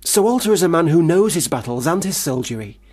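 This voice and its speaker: Self-important young Victorian son, radio drama: earnest, formal, expressive range, faintly rough voice. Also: male voice, fairly high pitch, fairly quick. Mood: challenging. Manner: formal, lofty, pronouncing.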